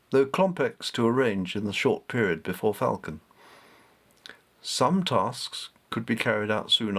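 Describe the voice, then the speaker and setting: A man reading his own story aloud as narrator, in an expressive, measured storytelling manner. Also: medium pitch.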